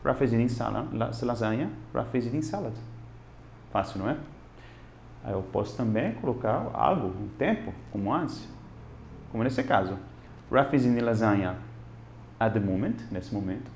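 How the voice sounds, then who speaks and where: Guy teaching english, super lively, gravelly voice, echoey room. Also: medium pitch, moderate pace, long pauses, slight background noise. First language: non-Portuguese. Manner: animated and expressive, switching between Portuguese and English. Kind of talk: casual video lesson.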